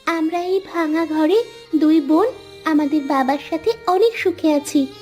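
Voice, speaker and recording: A woman's voice: high voice, a little slow, a little mellow, soft background music. A woman voicing an earnest, pleading twelve-year-old village girl, a devoted daughter in a cartoon. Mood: earnest, resolute. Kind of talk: Bengali cartoon voice acting.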